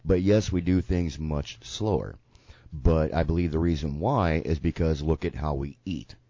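Man, Southern, radio host, deep voice, laid-back rambling banter, stuffy nose, dull dry studio sound. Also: moderate pace, dark tone, no echo. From American South. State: congested from sinuses. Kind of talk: casual radio talk show banter.

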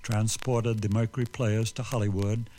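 A man's voice: fairly low pitch, level tone, moderate pace, dark tone, a little hiss or hum. A man narrating a scripted retrospective in a formal, documentary style.

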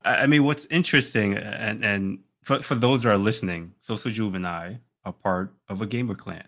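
Bright-voiced, low-pitched man chatting on a podcast. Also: normal speed. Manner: emphatic, repeats phrases, checks in with "right?". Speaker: podcast co-host. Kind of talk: casual podcast chat.

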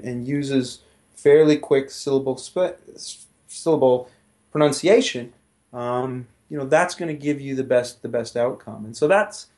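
A man's voice: medium pitch, a little mellow. A middle-aged man, a professor guest, explaining his research conversationally with frequent restarts and "you know".

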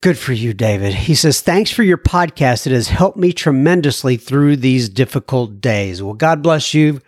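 A man's voice: medium pitch, expressive, normal speed, darkish tone, no room sound, studio quality. A man hosting a recovery podcast, reading listener letters aloud and adding folksy asides with frequent restarts. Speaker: podcast host.

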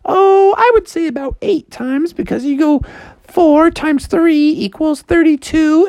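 A woman's voice: high voice, a little mellow, no echo, voice pushed up, poor audio. A woman voicing a peppy, overbearing mother frog in a storybook, emphatic and sing-song, full of encouragement. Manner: sing-song, emphatic, pep-talk repetition.

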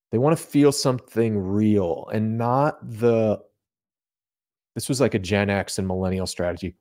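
Low-voiced man in casual theological talk. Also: a little mellow. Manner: colloquial, explanatory, acts out imagined remarks. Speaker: podcast guest.

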